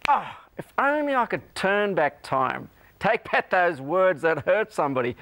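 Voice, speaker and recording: A man hosts a religious talk show from a studio. His voice sits a bit high, and he asks earnest, leading questions with emphasis. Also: fairly bright tone.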